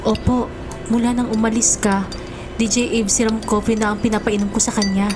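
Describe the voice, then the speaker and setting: Woman acting a household helper, respectful, attentive, fairly high pitch, radio drama, music underneath. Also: level tone, moderate pace, natural voice. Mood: hospitable. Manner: deferential. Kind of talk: Tagalog audio drama acting.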